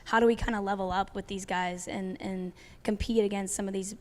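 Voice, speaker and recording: A woman's voice: mid-range voice, brisk. A young adult woman coach talking casually about her career, with frequent ums and restarts. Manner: relaxed, many ums and restarts. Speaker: head cross country coach. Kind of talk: casual panel talk.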